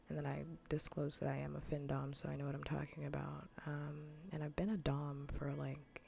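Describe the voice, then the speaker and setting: A woman streamer chatting softly in ASMR style close to the microphone, often in a breathy whisper, with a dry, unhurried, matter-of-fact way of talking. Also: low voice, a little hiss or hum. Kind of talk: soft-spoken ASMR gaming livestream chat.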